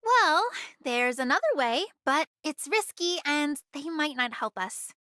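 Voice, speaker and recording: A woman voicing a bold, mischievous young girl in an anime video game, with a perky, expressive delivery. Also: high-pitched, unhurried, dry recording, studio quality, heavily acted. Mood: serious, explaining.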